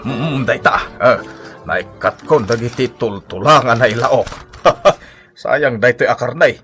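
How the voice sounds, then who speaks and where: An actor playing a proud, blustering old Ilocano father-in-law in a comedy radio drama, with a husky voice and an exclamatory, drawling delivery. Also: medium pitch, slow, fairly bright tone, soft background music. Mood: pleased, scheming. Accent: Filipino, Ilocano.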